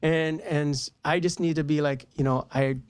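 Low-key dry-recorded woman telling personal stories. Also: moderate pace, clean tone, dry recording. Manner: animated retelling with acted-out quotes. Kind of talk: candid personal storytelling in casual chat.

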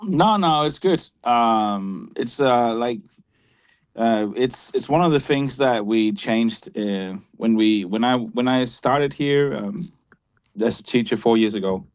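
A man who teaches at a Bible college, answering interview questions in a hesitant way with many pauses and restarts. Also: mid-range voice, smooth, no room sound.

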